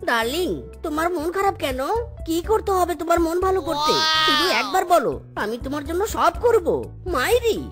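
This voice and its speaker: A woman's voice: very high-pitched, animated, brisk, loud music behind the voice. A woman voicing a scheming, boastful male fox in a comic cartoon, with a wheedling, theatrical delivery. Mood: coaxing.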